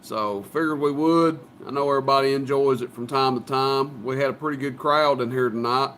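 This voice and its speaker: A man's voice: mid-range voice, fairly quick, fairly bright tone, a little hiss or hum. A man chatting casually as the host of a CB radio live stream. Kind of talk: casual chat.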